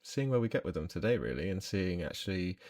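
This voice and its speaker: Brief: young male podcast co-host, low voice, jokey, dry close mic. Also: very animated, fast, darkish tone, no room sound. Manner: playful, jokey, prone to tangents and humming songs. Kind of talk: casual chat.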